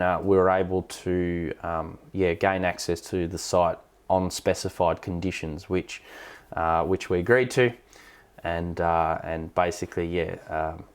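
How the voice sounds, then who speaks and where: An Australian man hosting a casual technical question-and-answer stream, explaining things hesitantly as he thinks aloud. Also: low voice. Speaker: live stream host, amateur radio operator.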